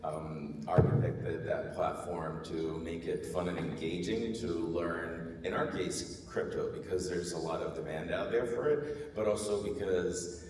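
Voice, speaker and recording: A man's voice: deep voice, unhurried, darkish tone. A man from Bulgaria with a Bulgarian accent, slightly losing his voice, speaking earnestly on a conference panel with many restarts. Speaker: panelist, marketing executive. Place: large conference hall stage. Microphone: handheld mic. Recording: echoey hall, distant mic, faint noise.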